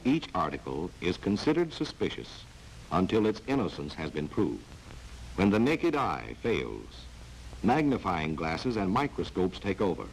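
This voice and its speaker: A taunting, sardonic narrator of a 1940s spy training film. His low voice swings dramatically up and down as he speaks in measured phrases. A faint hiss from the old soundtrack sits behind him. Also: full character voice, low-quality recording.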